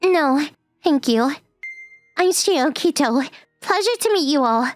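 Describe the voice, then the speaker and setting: A woman plays a young working woman in a manga dub, prickly and easily flustered. Her voice is high and very animated, delivered at an unhurried pace. She sounds defensive and huffy. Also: no echo, faint music behind. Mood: reserved. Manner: huffy, defensive, slow-paced. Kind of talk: manga dub character acting.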